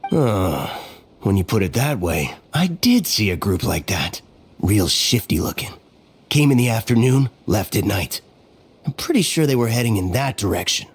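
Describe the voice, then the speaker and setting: A man's voice: lowish voice, big pitch swings, normal speed, slight background noise. A man voicing an evasive merchant giving offhand answers, in video game dialogue.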